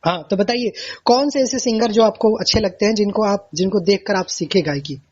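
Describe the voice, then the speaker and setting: Male interviewer, high husky voice, effusive and repetitive, conversational, muffled call-recording sound. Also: a little fast. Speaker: interviewer, radio-style host. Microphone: recorded phone call. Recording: dull, lo-fi phone call recording.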